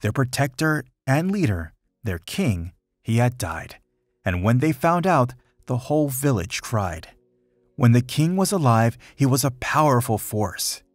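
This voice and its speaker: A man reading a rhyming children's picture book aloud in an expressive, dramatic storytelling manner. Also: medium pitch, measured pace, no room sound, studio quality. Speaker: storybook reader.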